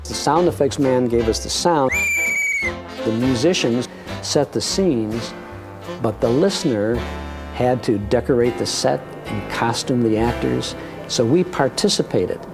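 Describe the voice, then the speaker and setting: A man's voice: mid-range voice, lively intonation, unhurried, vocal fry, rough recording. Older man reminiscing over music. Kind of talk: documentary interview reminiscence.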